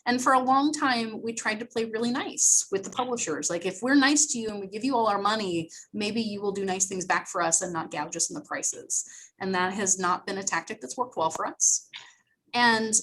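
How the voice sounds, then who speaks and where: A woman on library staff talks a meeting through its options over a video call. Her voice sits at a middle pitch, and her delivery is conversational and explanatory, with frequent restarts and fillers. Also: moderate pace, fairly bright tone, low-quality recording. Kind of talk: meeting discussion.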